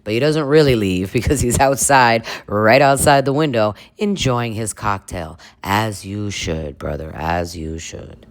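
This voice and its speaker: A man's voice: on the low side, unhurried, few pauses, dry recording, studio quality. A man hosting a comedic reaction video, trading sarcastic asides with little chuckles.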